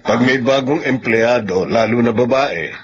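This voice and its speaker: An actor playing a considerate Filipino office boss in a radio drama, casual and chummy. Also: male voice, mid-range voice, measured pace, breathy edge. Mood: friendly. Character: friendly, considerate married male office boss. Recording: dull, noisy lo-fi drama recording.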